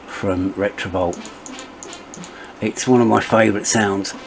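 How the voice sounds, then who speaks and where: A middle-aged man narrating a step-by-step software tutorial as its presenter. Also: lowish voice, background noise.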